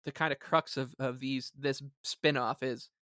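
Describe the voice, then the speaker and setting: A man co-hosting a casual sports podcast, rambling along in an animated, emphatic way. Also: medium pitch, a little slow, no room sound, clean studio sound. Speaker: podcast co-host.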